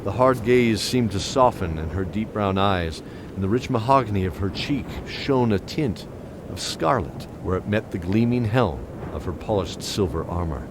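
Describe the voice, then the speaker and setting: An actor playing a weary, guilt-ridden knight captain, narrating and speaking in a scripted fantasy audio drama. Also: male voice, fairly low pitch, animated, normal speed, background noise, natural voice. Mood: solemn, reflective. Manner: solemn, descriptive, dramatic delivery.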